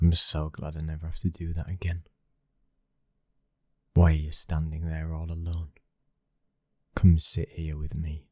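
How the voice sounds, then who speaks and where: A British man acting a cold, dominant young mafia heir and protective new husband in an ASMR roleplay, speaking in a low, husky hush, slow and commanding. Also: dry recording, clean studio sound. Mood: softer, reassuring.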